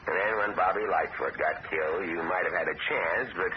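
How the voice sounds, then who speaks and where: A middle-aged actor playing a sly, self-pitying uncle who talks smoothly and wheedles, his voice jumping up in pitch, in a 1970s radio drama. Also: brisk, lightly acted. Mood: scheming. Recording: muffled old radio broadcast with hiss.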